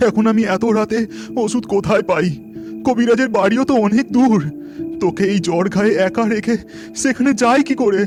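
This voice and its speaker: A man's voice: sits high, fairly quick, close to an everyday voice. A man voicing a poor, anxious village fisherman and devoted father in a melodramatic horror cartoon. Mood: worried. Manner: fretful, melodramatic. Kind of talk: Bengali horror cartoon voice acting. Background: music.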